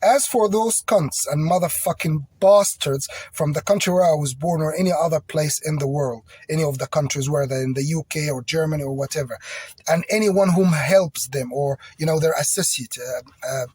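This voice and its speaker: A man's voice: on the high side, normal speed, a little mellow, breathy edge, dry recording. A man whose first language is French, speaking English in an agitated, repetitive personal video appeal.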